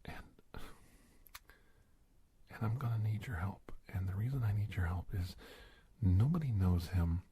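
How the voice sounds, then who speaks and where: A man playing a calm, reassuring government agent in a soft-spoken ASMR roleplay, breathy and gravelly, hesitant and gentle, often dropping toward a whisper. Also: deep voice, a little slow, long pauses, full character voice. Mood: gently persuasive.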